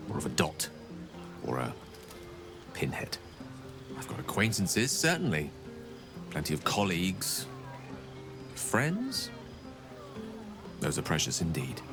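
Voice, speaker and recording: A man's voice: low-pitched, heavily acted. A man playing an erudite, wistful wizard in a video game, wordy, bookish, wry and self-deprecating. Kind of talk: video game character dialogue. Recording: music behind the voice.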